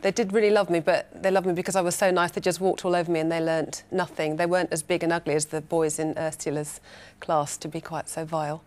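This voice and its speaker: A woman with a slightly low voice speaks as a panel guest. She reflects on her own experience with wry self-deprecation. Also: flat delivery, fast, darkish tone. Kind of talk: reflective personal anecdote.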